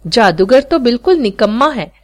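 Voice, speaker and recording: A woman's voice: a little high, sing-song, normal speed, darkish tone. A woman narrator voicing a proud, self-reliant teenage boy street magician who speaks fluently and with confidence in a story. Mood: proud, confident. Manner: fluent, unhesitating, proud speech.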